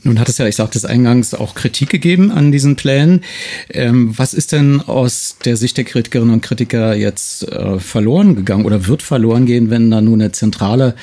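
Male radio host, medium pitch, conversational moderation. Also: fairly quick, warm tone.

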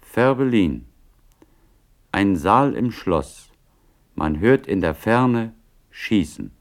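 A solemn radio play announcer, reading scene directions. The voice is deep and slow, with formal delivery and strong movement in pitch. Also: male voice, very animated, exaggerated voice. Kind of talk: classical German radio play narration.

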